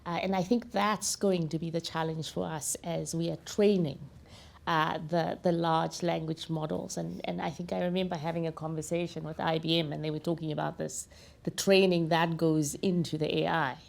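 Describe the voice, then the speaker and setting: A woman from South Africa speaking as a deputy central bank governor on a panel, steady and explanatory. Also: deep voice, moderate pace, gravelly. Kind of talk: panel discussion.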